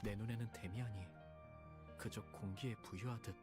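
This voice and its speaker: A man narrating as a timid, lonely young man in a game, quiet and reflective. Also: on the low side, fairly even intonation, very fast, loud music behind the voice, lightly acted.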